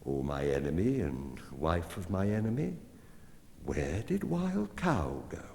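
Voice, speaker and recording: A man voicing a sly, proud female cat in a storybook reading, soft and wheedling. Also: low voice, sing-song, a little slow, creaky, big-room sound, a little hiss or hum, exaggerated voice.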